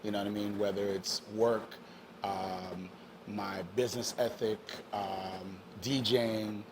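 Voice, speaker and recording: Haitian-born man, low relaxed voice. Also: slow pace, background noise. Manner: relaxed storyteller, many you-knows and ums, mixes in French. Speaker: DJ guest. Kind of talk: casual interview chat.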